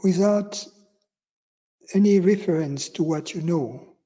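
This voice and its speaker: Very slow-paced man guiding meditation. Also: high voice, warm tone, highs cut off. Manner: unhurried, with long pauses between short phrases. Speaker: meditation teacher. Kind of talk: guided meditation instruction.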